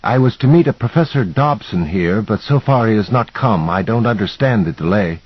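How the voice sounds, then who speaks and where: A male narrator plays a shy Scandinavian professor in an audiobook novel, formal and polite, with a Scandinavian accent. The voice is husky and lifted above the reader's own, on a slightly dull recording. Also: lowish voice, animated, moderate pace, no room sound, pitched above the performer's own voice. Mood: puzzled, concerned.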